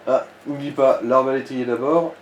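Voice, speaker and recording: A man's voice: medium pitch, fairly even intonation, moderate pace. A man guiding a player through a video game with casual tips and comments. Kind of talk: casual gaming chat, giving tips. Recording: roomy echo, faint background noise.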